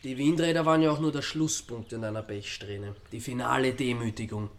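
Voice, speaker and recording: An actor playing a wry Austrian amateur sleuth in a radio crime drama, needling and reasoning aloud with sardonic calm. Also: male voice, mid-range voice, brisk, natural voice. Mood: wry, deducing.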